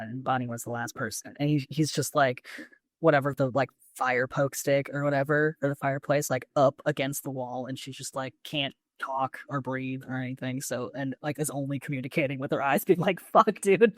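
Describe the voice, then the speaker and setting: Androgynous voice, mid pitch, dry close recording, casual rambling recap, acted-out asides, podcast co-host. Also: no echo. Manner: rambling, filler-heavy retelling with acted-out asides and swearing. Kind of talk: casual chat recapping a TV show.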